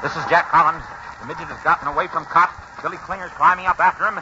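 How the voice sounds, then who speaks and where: An actor playing an urgent, clipped field-side baseball reporter in a 1940s radio drama. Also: male voice, on the high side, steady tone, quick pace, lightly acted. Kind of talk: 1940s sports commentary acting. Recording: muffled, hissy vintage radio broadcast.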